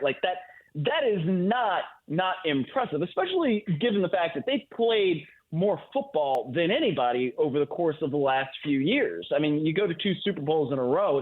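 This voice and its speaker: Higher-voiced man on a phone line. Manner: conversational, long explanatory answers peppered with "you know" and "right?". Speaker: guest football analyst on a sports radio show. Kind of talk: off-the-cuff sports analysis. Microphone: phone or remote call-in line. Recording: thin, narrow phone-line sound.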